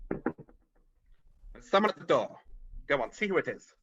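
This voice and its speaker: A man's voice: a little high, sing-song, a little slow, a bit bright, a little hiss or hum. An actor playing a miserly, scornful old businessman in a radio-style drama, curt and sneering, on a dull video call. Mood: irritable. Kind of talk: vintage radio drama acting.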